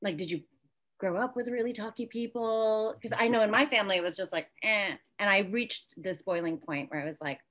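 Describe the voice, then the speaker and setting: A woman's voice: medium pitch, brisk, fairly bright tone. A woman in her thirties interviewing casually on a video call, with informal, loose phrasing. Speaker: interviewer, host. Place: indoor room at home, video call.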